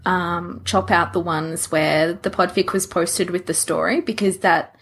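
A woman's voice: lowish voice, fairly even intonation, measured pace, slight background noise. A woman co-hosting a podcast in an informal, chatty style. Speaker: podcast co-host. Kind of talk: casual chat.

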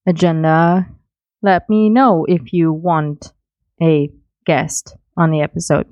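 A woman's voice: low-pitched, no room sound, highs cut off. A woman giving casual spoken comments as a podcast contributor.

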